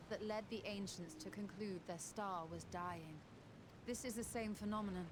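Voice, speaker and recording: A grave, weary but resolute sorceress from a fantasy game, played by an actress. She speaks in a medium-pitched female voice with solemn, archaic formality. Music plays clearly behind her. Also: natural voice. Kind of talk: dramatic video game voice acting.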